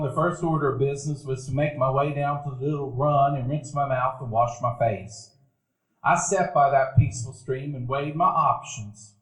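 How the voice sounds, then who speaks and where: A middle-aged man tells the story with wry, reflective warmth of memory at a medium pitch. It is recorded live, with a roomy echo from the theater. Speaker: narrator. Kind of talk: storytelling narration in a live radio play. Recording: echoey live theater room.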